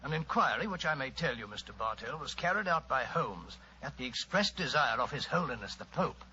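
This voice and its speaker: Courtly, chatty English storyteller of advancing years, bright and forceful, 1940s radio drama, slightly noisy old recording. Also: male voice, medium pitch, very animated, a little fast. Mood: genial, reminiscing. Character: Doctor Watson, genial older English gentleman storyteller. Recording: muffled old radio recording, faint noise.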